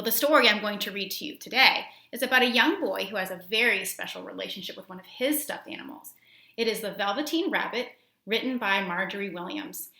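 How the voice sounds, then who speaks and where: A woman school librarian reading aloud for young children, with expressive, careful phrasing. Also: mid-range voice, vocal fry. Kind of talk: children's storybook read-aloud.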